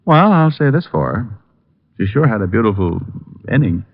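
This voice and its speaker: Wry, low-voiced American radio detective. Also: male voice, very animated, moderate pace, heavy background noise. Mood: teasing. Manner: hesitant, stammering, wry. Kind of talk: 1940s-50s American radio acting. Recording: dull vintage radio recording.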